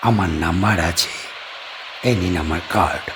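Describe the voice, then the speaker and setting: A man's voice: sits low, normal speed, fairly bright tone, a little hiss or hum. A man acting as a polite, widowed guest-house owner living alone on an island, in an audio drama. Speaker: D'Souza.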